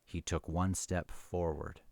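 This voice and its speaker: Casting: male book vlogger, low pitch, dry close sound, earnest and halting delivery. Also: level tone, creaky, a little mellow, no room sound. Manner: earnest, halting, repeats words while thinking aloud. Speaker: book reviewer and reader. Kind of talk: casual book discussion mixed with read-aloud novel passages.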